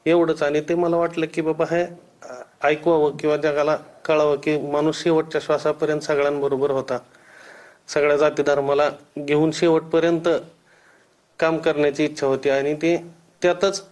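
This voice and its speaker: A man giving hesitant, deferential interview answers in a halting way. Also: a little high, level tone, darkish tone. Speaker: interviewee, relative of a politician.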